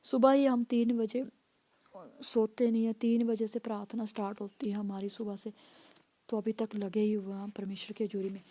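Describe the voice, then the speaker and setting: A woman pastor preaching a sermon with a hoarse voice, driving points home through repeated phrases and calls of hallelujah. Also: mid-range voice, level tone, moderate pace, dark tone, slight background noise.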